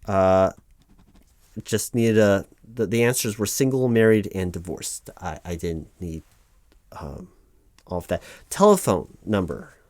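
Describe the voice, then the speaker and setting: A man playing a patient, officious human resources officer, haltingly reading out form fields in a one-sided ASMR roleplay. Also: deep voice, sing-song, a little mellow, no room sound. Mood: patiently correcting. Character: officious, patient male HR officer doing paperwork. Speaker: human resources interviewer filling out forms.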